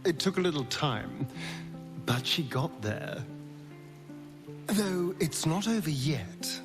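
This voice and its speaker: Sardonic elegant male rogue, fairly high voice, expressive swoops, languid, game cutscene, music behind. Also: slow. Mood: knowing, wary. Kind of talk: cinematic video game acting.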